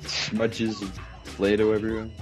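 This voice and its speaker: A man's voice: fairly low pitch, monotone, moderate pace, soft background music. A man giving casual, teasing commentary on a video game with friends. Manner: teasing banter. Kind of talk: casual group gameplay commentary.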